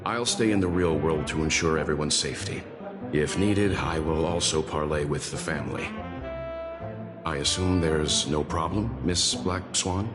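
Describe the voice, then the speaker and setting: A grave, protective man from a video game speaks in an extremely deep voice. He is measured, formal and cautious, with loud music playing behind him. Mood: guarded.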